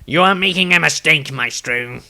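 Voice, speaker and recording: A man's voice: on the high side, normal speed, creaky, sharp tone, heavily acted. A man voicing a stern, urgent halfling adventurer in a fantasy story, speaking in emphatic exclamations.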